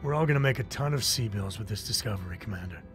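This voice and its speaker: An actor playing a gracious older mercenary patron in a video game cutscene, speaking in a measured, formal, articulate way. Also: male voice, lowish voice, animated, over music. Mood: earnest, measured.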